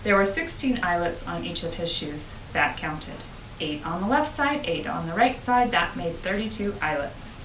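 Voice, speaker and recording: A woman reading a children's book aloud with expressive storytelling, as a school principal would to pupils. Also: lowish voice, moderate pace. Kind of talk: children's audiobook narration. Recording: roomy, distant, noisy home-style recording.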